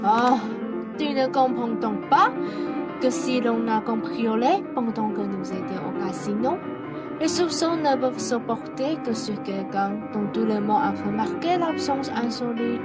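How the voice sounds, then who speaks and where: A young woman playing an excitable, scheming young man in a French radio play, melodramatic and emphatic. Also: on the high side, a little slow, natural voice. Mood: conspiratorial. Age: young adult. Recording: music behind the voice.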